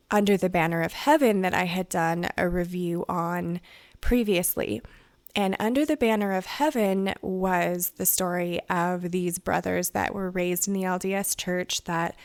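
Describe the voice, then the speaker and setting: A woman hosting a solo podcast, her voice raspy and hoarse from crying, talking in a chatty, rambling way. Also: lowish voice, no room sound. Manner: chatty and rambling, many "like" and "you know". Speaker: podcast host. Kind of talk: casual solo podcast review.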